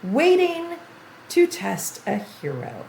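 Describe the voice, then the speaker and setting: An older woman streaming a video game tutorial, chatty and thinking aloud as she plays, her voice going a bit hoarse. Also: mid-range voice, huge pitch swings, slow, a little mellow, reverberant, slight background noise. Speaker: gaming streamer. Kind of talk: game tutorial livestream commentary.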